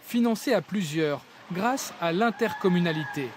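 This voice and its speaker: A man's voice: high-pitched, animated, normal speed, slight background noise. A man narrating a scripted television news report as its reporter.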